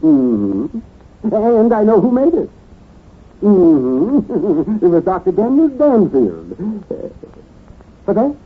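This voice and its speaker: An actor playing a fussy, excitable bookstore owner in a 1940s radio drama, fluttering and repeating himself. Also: male voice, high voice, slow pace, long pauses, husky, heavily acted. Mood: excited. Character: fussy, excitable bookstore owner who adores mystery stories. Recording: tinny, hissy old radio broadcast.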